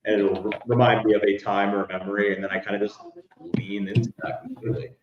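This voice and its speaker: A young man and musician, a baritone, chatting casually in an interview and rambling with many fillers. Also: level tone, quick pace, a crowd in the background. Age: young adult. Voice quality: baritone speaking voice. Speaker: musician guest. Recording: echoey, dull lo-fi room sound.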